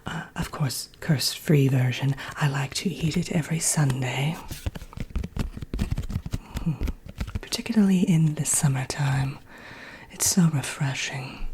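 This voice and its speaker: A woman playing a genteel, drily wicked Evil Queen in a soft, breathy whispered ASMR roleplay, presenting like a workshop teacher. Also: a bass voice, measured pace, pauses often, slight background noise, low-quality recording. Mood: sly, pleased. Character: haughty, wicked fairy-tale Evil Queen teaching recipes.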